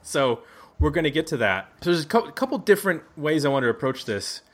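A man's voice: a little high, sing-song, brisk. A man from Buffalo in the United States hosts a casual round-table music podcast in a chatty, jokey way, often correcting himself. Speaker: podcast host. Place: indoors at a neighbor's house.

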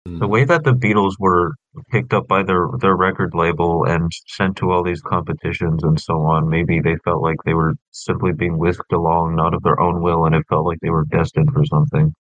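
Deep-voiced man on dull, dry audio. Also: fairly even intonation, normal speed, few pauses, dry recording. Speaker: podcast guest. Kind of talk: casual mock-scholarly discussion.

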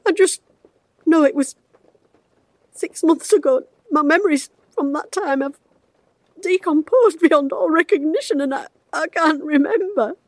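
An actress playing a retired woman detective who is losing her memory, speaking a hushed, halting inner monologue. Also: high-pitched, no echo. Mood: anguished. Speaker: actor in an audio drama. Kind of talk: first-person audio drama narration.

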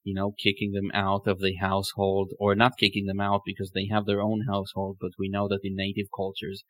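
A man's voice: deep voice, completely flat delivery, brisk. A man hosting a reflective conversational podcast, speaking thoughtfully and feeling his way toward his words. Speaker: podcast host.

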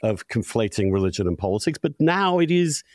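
Middle aged guy hosting a podcast, really animated pitch, opinionated, dry room. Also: a little fast, no room sound. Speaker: podcast host. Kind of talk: conversational podcast discussion.

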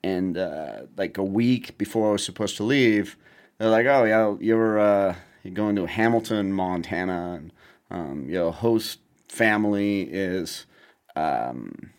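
A man in his forties from Germany, a podcast guest telling stories about his past in a relaxed, wry way. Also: sits low, slow pace. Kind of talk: casual storytelling interview.

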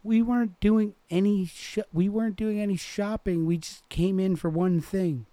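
A man's voice: high voice, fairly even intonation, normal speed, dark tone, dry recording, pitched above the performer's own voice, lightly acted. A man voicing a prim, haughty teenage girl who sounds defensive. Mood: indignant.

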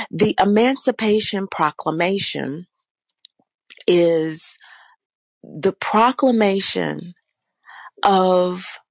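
A woman with a low voice, slightly raspy from a change in the weather, with a gravelly edge. She hosts an unscripted spiritual talk, meandering along with fillers and self-corrections. The sound is dry and close, with no room around it. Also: no room sound. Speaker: oracle deck teacher and course host.

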